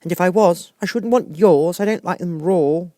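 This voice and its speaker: A man voicing a polite, puzzled little girl in a storybook, earnest and questioning, quick to protest. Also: sits high, warm tone, dry recording, studio quality, voice pushed up, natural voice. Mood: indignant.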